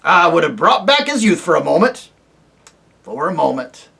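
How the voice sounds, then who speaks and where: A reader voicing a very old, deaf and irritable male dwarf who talks loudly and mishears words, in a storybook reading. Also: mid-range voice, sing-song, moderate pace, gravelly. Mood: warm, stately. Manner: loud, testy, mishears words.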